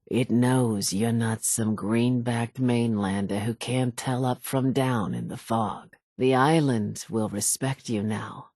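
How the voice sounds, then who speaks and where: Slow, husky, vengeful old island woman. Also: rumbling low, dark tone, no room sound, studio quality, full character voice. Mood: sly, persuasive. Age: older. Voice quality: husky, aged, weathered voice. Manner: deliberate, storytelling, folksy. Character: vengeful, folksy old islander woman, last of her family. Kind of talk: video game character dialogue.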